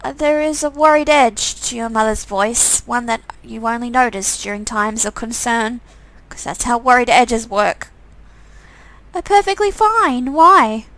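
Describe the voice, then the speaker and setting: A woman's voice: a little high, steady tone, normal speed, few pauses, low-quality recording. A woman reading a visual novel game aloud as its narrator, with a wry way of talking.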